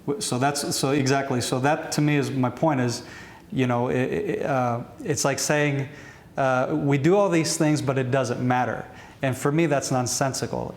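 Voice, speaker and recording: A man with an Israeli accent hosting an interview, whose speech is halting, with many restarts as he searches for words in English. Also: mid-range voice, roomy sound. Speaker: podcast host. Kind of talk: interview questions.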